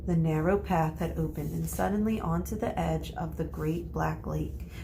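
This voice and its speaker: A woman teacher reading a children's novel aloud in a deliberate, explanatory way. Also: sits low, steady tone, gravelly, noisy background. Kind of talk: storybook read-aloud.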